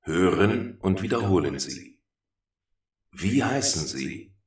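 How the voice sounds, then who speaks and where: A man reading the lines of a calm computer scientist in his thirties in a slow, carefully spaced course recording. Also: low-pitched, far from the mic, heavily acted. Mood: plain. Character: calm 35-year-old male computer scientist. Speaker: textbook dialogue speaker. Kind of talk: slow scripted language-course audio. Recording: roomy course audio heard from afar.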